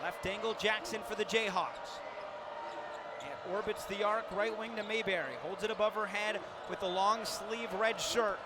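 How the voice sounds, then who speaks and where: A man's voice: sits high, steady tone, moderate pace. A man doing live basketball play-by-play for radio, with vivid, punchy calls that lift on big plays. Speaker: play-by-play sports announcer. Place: indoor basketball arena during a game. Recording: loud arena noise, bouncing balls. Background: loud game ambience, ball bounces.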